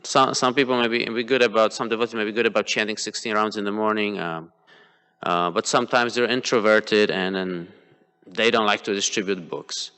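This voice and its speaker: A man's voice: lowish voice, steady tone, brisk, bright, forceful tone, clear, resonant tone. A man whose first language is Polish gives a devotional class, speaking hesitantly with many fillers and restarts. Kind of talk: devotional class talk, personal examples. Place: temple hall. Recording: long hall reverb.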